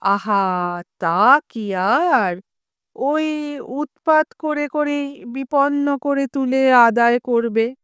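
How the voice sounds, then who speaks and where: A woman voicing a calm, suave gentleman neighbour in an audio story, softly spoken and gently mocking. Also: high-pitched, drawn-out words, clean tone, no room sound, studio quality, heavily acted. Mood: placating. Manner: unruffled, soft, gently mocking.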